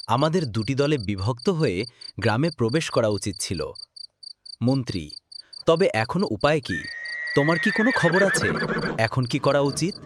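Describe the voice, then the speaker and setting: Guy doing a commanding king asking his officers, cartoon, very animated, slight cricket noise. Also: medium pitch, lightly acted. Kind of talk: dramatic cartoon story acting.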